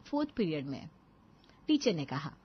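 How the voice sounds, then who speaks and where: A woman's voice: low-pitched, sing-song, normal speed, slight background noise. Woman narrating a story, slightly dull sound. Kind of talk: scripted Hindi moral-story narration. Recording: dull lo-fi audio.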